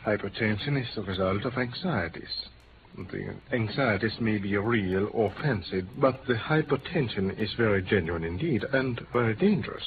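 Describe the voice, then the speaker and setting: An actor playing a polite, cautious psychiatrist with a Viennese accent and a formal, hesitant manner in 1950s radio drama. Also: male voice, on the low side, expressive, moderate pace. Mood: measured, formal. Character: small, courteous, cautious Viennese male psychiatrist. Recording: tinny, noisy old radio recording.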